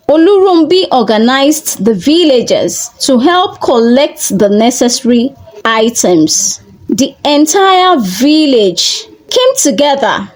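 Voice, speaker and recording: A woman narrating a scripted folktale as a storyteller, in deliberate, measured short phrases. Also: high voice, darkish tone.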